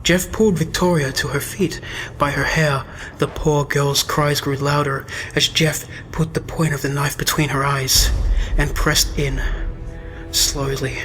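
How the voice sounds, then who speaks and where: A man narrating a scripted horror story in a measured, dramatic storytelling manner. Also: fairly high pitch, little pitch movement, poor audio. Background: loud music.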